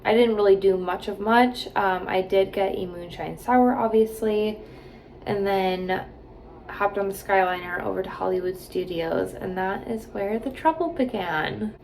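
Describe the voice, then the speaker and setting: A woman's voice: mid-range voice, unhurried, vocal fry, a little mellow, slight background noise, rough recording. A woman in her late twenties chatting casually to camera from a hotel room, rambling with lots of "like" and "um". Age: 20s. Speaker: vlogger.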